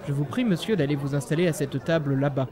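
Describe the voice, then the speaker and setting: A man's voice: fairly high pitch, quick pace, a little mellow, some chatter behind, close to an everyday voice. A man playing an obsequious, money-minded innkeeper in a comic fantasy audio drama, speaking in a formal, servile manner. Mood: announcing loudly.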